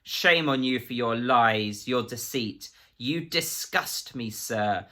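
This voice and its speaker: Man acting a pompous, menacing official, forceful edge. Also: mid-range voice, takes it slowly. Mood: roaring rage. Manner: officious, precise, clipped, menacing. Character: prissy, menacing male government agent, pompous and volatile.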